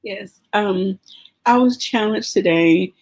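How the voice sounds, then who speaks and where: Woman podcast host, sincere and reflective, slightly breathy, mid-pitched voice. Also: slow. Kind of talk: casual podcast conversation.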